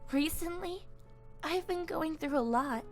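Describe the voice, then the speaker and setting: A woman's voice: extremely high pitch, a little slow, faint music behind. A woman acting a shy, tender young woman in a visual novel, speaking hesitantly and earnestly as she opens her heart. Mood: hesitant, emotional.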